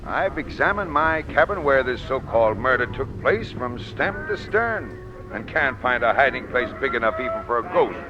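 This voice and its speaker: An actor playing a stern, no-nonsense sea captain with a blunt, commanding manner in 1940s radio style. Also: male voice, medium pitch, sing-song, normal speed, close to an everyday voice. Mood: hearty, blunt. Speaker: ship captain. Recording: dull, noisy old-time radio recording.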